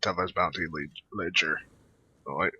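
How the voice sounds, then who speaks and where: A man's voice: low-pitched, fairly even intonation, normal speed, sharp tone, slightly rough. A man playing a game and making casual, mumbled comments into a headset. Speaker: gameplay streamer. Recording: dull, lo-fi headset mic.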